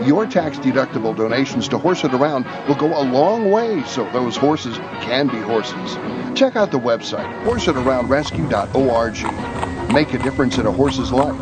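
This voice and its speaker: A man's voice: medium pitch, expressive, normal speed, loud music behind the voice. An American man hosting a radio show, talking casually and making announcements.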